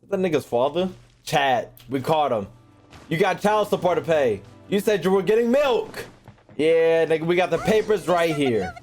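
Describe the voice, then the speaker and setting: A man streaming a game with a slightly high, highly animated voice. He comments casually in joking, profane slang while faint music plays behind him. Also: normal speed. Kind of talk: casual live gameplay commentary.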